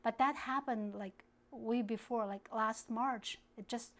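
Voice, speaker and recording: A woman from China, speaking English as a later language, answering interview questions in a halting way with many restarts. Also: on the high side. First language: Kazakh. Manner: halting, searching for words, frequent restarts. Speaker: guest activist.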